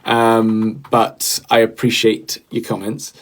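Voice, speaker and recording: A man's voice: lowish voice, monotone, takes it slowly. A young man, a podcast host and singer, rambling casually on a livestream with lots of fillers and restarts while he reads the chat.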